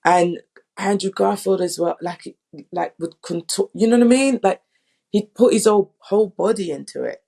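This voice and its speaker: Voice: mid-range voice, moderate pace, gravelly, warm tone. An interview guest talking in an effusive, informal, casual way about production work. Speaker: audio drama production guest.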